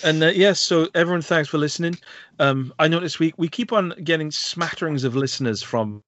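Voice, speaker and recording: A man's voice: fairly high pitch, a little fast, a bit bright, no echo. A man with a Welsh accent co-hosting a film podcast, chatting casually and hesitantly with lots of fillers. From Wales. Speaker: podcast co-host. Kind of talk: casual chat.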